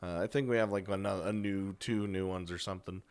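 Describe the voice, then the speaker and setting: A man's voice: low voice, fairly even intonation, a little fast, smooth. A man hosting a casual, unscripted countdown of games, chatty and crude, swearing freely. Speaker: video host and game reviewer.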